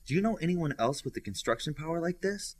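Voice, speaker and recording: A man's voice: a little high, expressive, fast talker, pitched above the performer's own voice. A man voicing a proud, businesslike boy superhero, terse and serious, in an audiobook. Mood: intense. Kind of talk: audiobook character dialogue.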